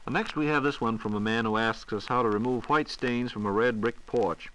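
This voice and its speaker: A man hosting a 1940s radio question program, reading out listener questions in a polite, crisp announcer manner. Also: medium pitch, a little fast, fairly bright tone, rough recording. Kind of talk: semi-scripted 1940s radio question-and-answer. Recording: 1940s radio broadcast recording.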